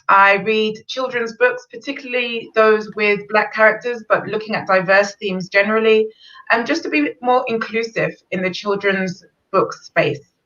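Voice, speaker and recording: Bright-voiced woman on a dull, distant call line. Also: medium pitch. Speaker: guest storyteller, founder.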